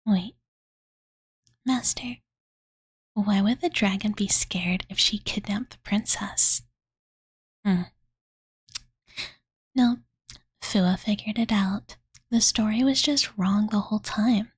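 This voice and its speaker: A woman voicing a cute, devoted young dragon girl who softly tells her master a bedtime story in a cozy ASMR roleplay, with cutesy, filler-heavy speech. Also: mid-range voice, slow pace, long pauses, no echo. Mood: puzzled, reassuring. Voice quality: soft breathy whisper. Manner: valley-girl filler-laden, soothing, cutesy third-person speech. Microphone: close-up studio condenser microphone, dry.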